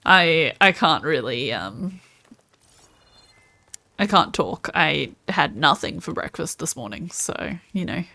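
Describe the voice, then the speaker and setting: Australian woman streamer, chatty commentary, lowish voice, bouncy intonation, dry sound. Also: sing-song, takes it slowly, creaky, pushed and bright, no echo. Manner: chatty, answers viewers' chat. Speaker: gameplay streamer. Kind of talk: live-stream gameplay commentary.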